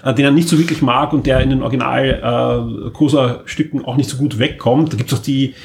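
A man's voice: mid-range voice, steady tone, fairly quick, creaky. A man co-hosting a podcast in casual chat, wordy and enthusiastic, piling up emphasis in long monologues. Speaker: podcast co-host.

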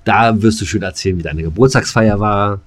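A man's voice: fairly low pitch, brisk, dry recording. A man co-hosting a podcast, chatting in a casual, colloquial way with cheeky, teasing jokes. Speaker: podcast co-host. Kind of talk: casual joking banter.

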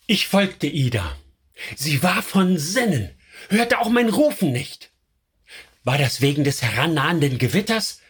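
A man voicing a devoted young man in a radio drama, narrating in a tender, confessional way over soft music. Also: on the high side, sing-song, a little slow, husky, full character voice. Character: young man secretly in love, anxious, devoted. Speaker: first-person narrator.

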